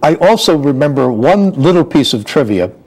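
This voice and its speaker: A middle-aged or older man hosting a relaxed, conversational interview. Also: fairly high pitch, moderate pace, poor audio. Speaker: interviewer, show host.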